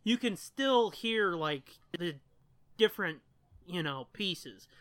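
A man's voice: sits high, lively intonation, slow, a bit bright, no room sound. A man co-hosting a podcast in casual, rambling and casually profane chat. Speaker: podcast co-host.

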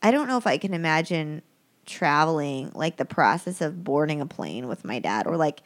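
A woman's voice: sits low, animated, normal speed. A woman talking casually as a podcast guest, rambling with many fillers and restarts, and joking at her own expense. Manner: rambling, self-deprecating, lots of fillers and restarts. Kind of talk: casual chat.

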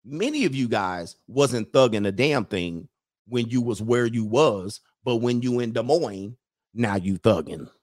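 A man talking casually to his audience in an animated way, mocking people with quick put-on impressions and laughing at his own jokes. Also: mid-range voice. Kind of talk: casual commentary monologue.

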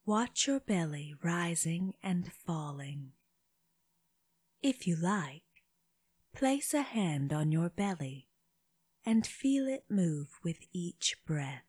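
A woman leading a guided meditation for children, speaking gently in short phrases with long pauses, her breathy voice often dropping toward a whisper. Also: lowish voice, expressive, no echo. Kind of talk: slow guided body scan meditation.

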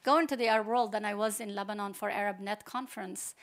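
A woman's voice: medium pitch, steady tone, fast talker, fairly bright tone. A middle-aged woman from Palestine, a computer science professor, speaking as a panel guest in a hesitant, unscripted way with many restarts.

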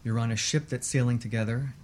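A man's voice: mid-range voice, fairly even intonation, quick pace, a little mellow, a little hiss or hum. A man speaking as a guest expert in a conversational interview, agreeable and emphatic in his affirmations. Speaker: guest psychotherapist.

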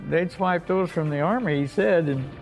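A man's voice: a little high, normal speed. An older American man, a war veteran, recounting his memories in a folksy, plain-spoken way. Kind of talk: unscripted oral-history reminiscence. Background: music bed.

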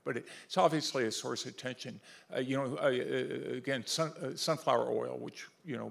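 Gravelly-voiced man on a policy panel. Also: mid-range voice. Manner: rambling, many fillers and restarts. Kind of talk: policy seminar discussion.

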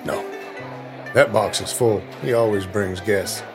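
A man's voice: fairly low pitch, unhurried. A man acting a casual, wry presidential bodyguard in a period drama, dry and offhand, over music. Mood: offhand, unconcerned.

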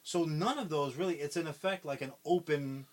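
A man's voice: fairly high pitch, normal speed. A man co-hosting a podcast, casually retelling a movie plot in a rambling way, with constant swearing and lots of fillers. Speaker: podcast co-host.

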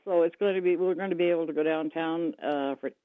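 A woman's voice: sits low, quick pace, dry recording. A woman calling a radio show, talking hesitantly with many fillers and readily agreeing. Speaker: caller. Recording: thin telephone line.

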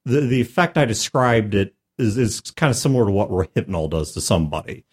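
A man's voice: lowish voice, expressive, brisk, breathy edge. A man hosting a podcast as a game master, telling a casual, emphatic story about a tabletop game.

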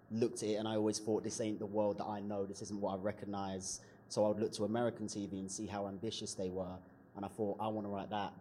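A man with a somewhat low voice speaks bluntly on a live writers' panel, swearing and joking as he goes. His delivery is loose and comic. Faint room noise sits underneath. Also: a little mellow. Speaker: panel guest, writer and comedian. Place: event stage with audience.

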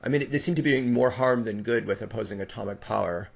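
A man's voice: medium pitch, fast, creaky, lo-fi sound, over a noisy crowd. A man interviewing a guest, putting brief probing questions and short pushback. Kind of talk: conversational interview.

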